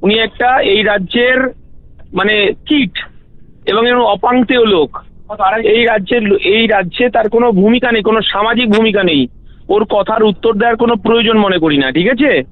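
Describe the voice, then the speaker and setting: A man's voice: sits high, moderate pace, no echo. A man with a West Bengal Bengali accent answering interview questions on a phone call in a combative, dismissive, emphatic way. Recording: muffled narrowband phone call.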